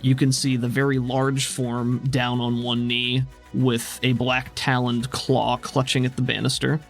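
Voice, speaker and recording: A man's voice: medium pitch, monotone, measured pace, gravelly, soft background music. A man narrating a tabletop game as game master in a dramatic storytelling style.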